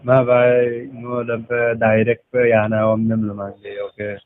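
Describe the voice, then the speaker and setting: A man's voice: medium pitch, completely flat delivery, slow, no echo, narrow, tinny audio. A man having a casual phone conversation, dropping in English words.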